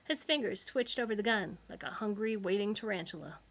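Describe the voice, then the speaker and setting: A woman reading a humorous science fiction novel aloud, with wry, expressive storytelling. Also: medium pitch, a little fast. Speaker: author reading own book. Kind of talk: scripted narration of humorous sci-fi novel.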